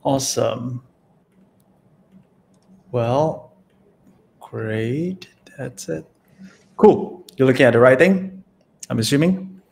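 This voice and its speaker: A man's voice: mid-range voice, slow pace, creaky, long pauses, a little mellow. Malaysian-born man presenting on a video call. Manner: friendly, rambling with many fillers. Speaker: guest presenter. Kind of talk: online presentation.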